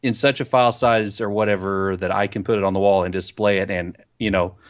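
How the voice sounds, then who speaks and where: A man's voice: fairly low pitch, steady tone, a little fast. A man co-hosting a gaming podcast in casual, rambling chat, talking into a desk microphone with a pop filter. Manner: rambling, chatty and jokey. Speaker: podcast co-host. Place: home recording setup on camera.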